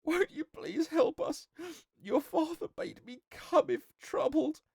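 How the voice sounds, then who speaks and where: Young peasant woman voiced by a male reader: tearful, pleading storybook character, very high pitch, dry recording. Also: animated, takes it slowly, gravelly, no echo, full character voice. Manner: pleading, sobbing.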